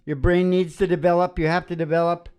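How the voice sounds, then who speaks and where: A woman's voice: lowish voice, flat delivery, fast, a little mellow, clean tone. A woman hosting a show with casual, rambling banter, philosophizing and joking in a colloquial way. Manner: philosophizing, jokey, colloquial with swearing. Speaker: radio show host and musician.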